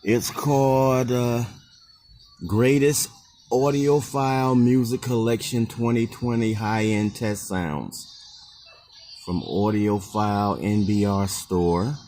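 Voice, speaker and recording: Man, slow-paced, somewhat low pitch, plain hesitant delivery, off-the-cuff seller demonstration, indoors. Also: steady tone, darkish tone. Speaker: online seller demonstrating used goods. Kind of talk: casual unscripted product demonstration. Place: indoor room, behind a handheld camera. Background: music from speakers being tested.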